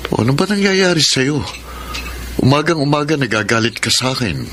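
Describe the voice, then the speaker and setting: Middle-aged Filipino radio-drama family man played by an actor: mid-range voice, very animated, defensive, noisy recording. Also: medium pitch, a little fast, close to an everyday voice. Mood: exasperated, defensive. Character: exasperated middle-aged father and defensive partner. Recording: clear background noise.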